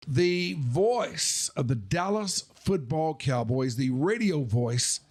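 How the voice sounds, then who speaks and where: Older male podcast host, casual and deliberate, pitched a little above average, leans on repetition for emphasis. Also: lively intonation, a little mellow.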